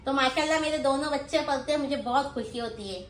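A woman's voice: sits high, fast talker. A middle-aged woman with a Mumbai accent chatting casually as a vlogger, explaining things and checking in with little questions. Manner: explanatory, tags phrases with questions. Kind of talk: casual grocery haul chat. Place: small room indoors, seated on floor. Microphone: phone held by someone nearby. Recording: roomy echo, faint background noise.

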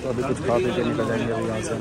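A man's voice: medium pitch, flat delivery, very fast. Man vlogging amid street bustle. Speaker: vlogger, father with his child. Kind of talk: casual vlog commentary to camera. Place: outdoors on a busy hill-town street. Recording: street vlog with crowd noise and music.

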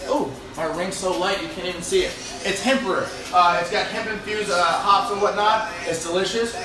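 A man's voice: high voice, moderate pace, over music. Young man, laid-back home cook host, casual rambling chat, jokey, distant from the mic, strongly reverberant room. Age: young adult. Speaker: cooking show host, home chef. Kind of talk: casual chat while cooking. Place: home apartment kitchen. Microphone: phone on counter, a few steps away. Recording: roomy, echoey phone recording from far off.

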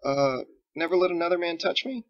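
A man's voice: on the high side, a little fast, highs cut off, voice pushed up, natural voice. A man voicing a young farm boy in a storybook, earnest and wary, with a hesitant, naive, plain-spoken manner. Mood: unsure.

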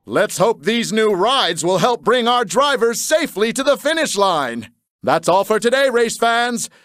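A man playing a showy TV race-show announcer in a cartoon game, with a theatrical, drawn-out delivery. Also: high voice, very animated, a bit bright, no room sound, exaggerated voice. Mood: dramatic.

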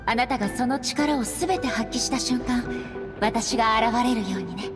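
A woman playing a gentle, kindly goddess in a game, speaking in an affectionate, softly feminine manner. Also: a little high, moderate pace, in a large hall, lightly acted. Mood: tender. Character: gentle, kindly late goddess, loving elder sister. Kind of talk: dramatic game voice acting. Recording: background music under the voice.

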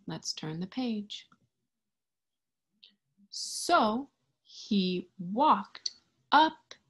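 Young woman, very slow pace, slightly husky voice, picture-book read-aloud, expressive child-directed teacher talk. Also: a little high. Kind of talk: read-aloud storytelling with simple commentary and questions for young children. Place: indoors in front of a green screen.

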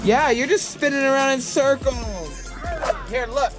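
A man's voice: very high voice, a little slow, over music. A young man filming a family vlog at an outdoor resort pool, playfully encouraging a small child on a waterproof action camera. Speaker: family vlogger. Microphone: handheld waterproof action camera.

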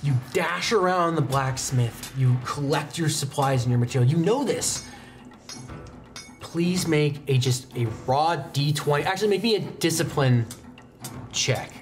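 A man's voice: fairly high pitch, measured pace. A young man narrating an improvised tabletop roleplaying game as game master, describing scenes like a storyteller. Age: young adult. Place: indoor studio, seated at a desk. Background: background music.

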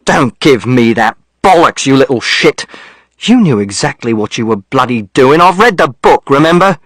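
A man's voice: mid-range voice, expressive, normal speed, a bit bright, no echo, clean studio sound. A man voicing an angry, exasperated father scolding his son in coarse, sarcastic language, as in an audiobook. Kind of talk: audiobook character acting.

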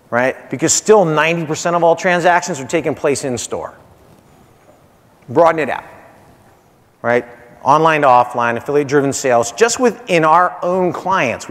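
A man's voice: mid-range voice, fairly bright tone. A man in his forties giving a conference keynote in punchy short phrases, repeating key points and checking in with "right?". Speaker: keynote speaker, marketing executive. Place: large hotel conference stage, indoors. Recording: reverberant conference hall.

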